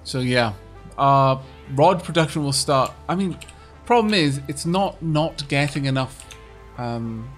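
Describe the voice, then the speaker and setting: A man's voice: mid-range voice, lively intonation, a little slow, faint music behind. A young man with a Welsh accent chatting casually while playing a game with a friend, rambling with lots of fillers. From Wales. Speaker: gaming streamer. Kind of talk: casual co-op gameplay chat.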